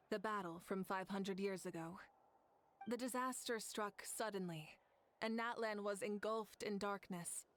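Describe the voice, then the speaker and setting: A woman's voice: medium pitch, natural voice. A woman voicing a proud fiery leader, solemn. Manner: solemn, earnest storytelling. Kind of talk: game cutscene dialogue. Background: soft game music.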